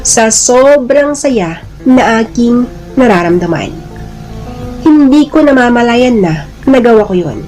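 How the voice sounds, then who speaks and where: A woman with a fairly high voice tells a dramatic first-person story. She delivers it in a deliberate, emotive way, as in a serial drama. Music plays behind her. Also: expressive, a little mellow, rough recording. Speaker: narrator of a listener's letter drama.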